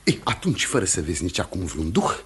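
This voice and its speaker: Accusing village pastor, very husky unclear voice, swooping intonation, insistent, formal, theatrical radio play. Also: male voice, deep voice, sing-song, fairly bright tone, full character voice. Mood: goading. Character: indignant, accusing male village pastor pressing a witness. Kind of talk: theatrical radio drama acting.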